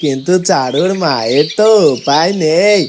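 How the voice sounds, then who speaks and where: A woman voicing a gentle, loyal farm ox in a cartoon, sympathetic and reassuring. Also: low voice, lively intonation, normal speed. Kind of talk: cartoon voice acting. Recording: noisy, birdsong and clicks behind.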